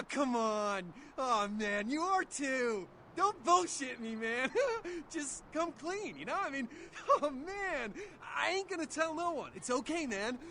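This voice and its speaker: An actor playing a starstruck young vampire's servant in a video game, gushing and rambling in slangy American English. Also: male voice, very high-pitched, pushed and bright, slight background noise, heavily acted. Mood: excited, coaxing. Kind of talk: video game dialogue acting.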